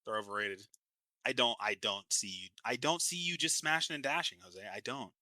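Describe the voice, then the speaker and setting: Bright, animated young man. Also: medium pitch, fairly quick, dry recording, studio quality. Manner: animated, blunt, argumentative, swears a lot. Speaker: podcast co-host. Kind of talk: casual chat.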